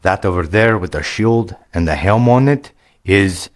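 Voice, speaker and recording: A man voicing a big, burly Viking warrior who gives steady, plain advice in a storybook reading. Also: low voice, a little slow. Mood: helpful.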